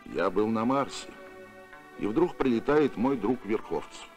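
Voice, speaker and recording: A man voicing a confident, steady heroic space captain in a cartoon, firm and assured. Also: lowish voice, unhurried, faint music behind. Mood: matter-of-fact.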